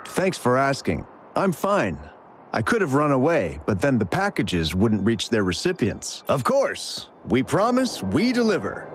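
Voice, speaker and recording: A man's voice: medium pitch, huge pitch swings, measured pace, slight background noise. A man playing a chatty, shifty delivery courier in a video game, with a theatrical and evasive manner. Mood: relieved, chirpy. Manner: theatrical, evasive, stammering when pressed. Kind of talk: video game character dialogue.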